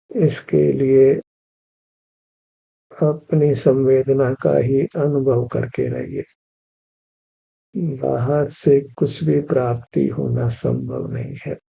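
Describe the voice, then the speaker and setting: A man leading a guided meditation, giving slow, even spiritual instruction and repeating key phrases. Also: medium pitch, vocal fry, husky. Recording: dull lo-fi recording.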